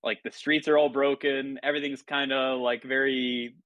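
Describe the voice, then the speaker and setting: A man's voice: mid-range voice, level tone, moderate pace, fairly bright tone. A man who grew up in Italy, a podcast guest chatting in a loose, enthusiastic, rambling way. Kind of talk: casual podcast chat.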